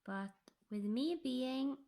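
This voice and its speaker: A Norwegian woman, English her second language, chatting softly about her cross-stitch project and often dropping into a whisper. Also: sits high, creaky. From Norway. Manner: slow, soft-spoken, drifting into whispers. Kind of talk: casual tutorial chat.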